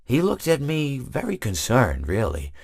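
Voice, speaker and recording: An actor playing a friendly, long-winded animatronic hippo who rambles through meandering stories, full of restarts. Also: male voice, medium pitch, wildly swooping pitch, measured pace, creaky, breathy edge, full character voice. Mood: rambling.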